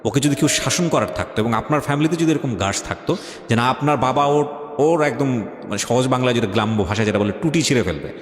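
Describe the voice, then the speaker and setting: A man's voice: medium pitch, animated, fast. A man with a Bangladeshi accent hosting a radio talk show, insistent and emphatic, slipping English phrases into his advice. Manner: emphatic, insistent, argumentative, code-switches into English. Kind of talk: talk show interview, advising. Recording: echoey hall reverb, faint music. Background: faint background music.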